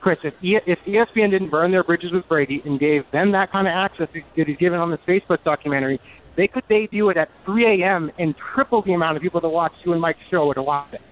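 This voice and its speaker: A man's voice: fairly high pitch, quick pace. A man calling in to a sports radio show over a muffled phone line, arguing insistently with the host. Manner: insistent, argumentative. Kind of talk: call-in debate.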